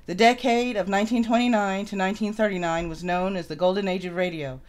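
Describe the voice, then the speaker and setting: A woman with a smooth, clear voice at a middle pitch hosts live. She moves between casual asides and scripted introductions read aloud. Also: fairly even intonation, fast. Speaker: live show host.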